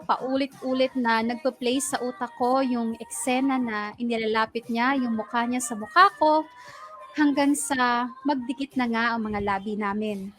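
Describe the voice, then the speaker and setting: Casting: lovestruck young Filipina narrator, high pitch, confiding Taglish delivery, faint music underneath. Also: female voice, fairly bright tone. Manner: confiding, Taglish code-switching. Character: lovestruck young woman confessing her story to radio hosts. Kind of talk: first-person dramatic narration.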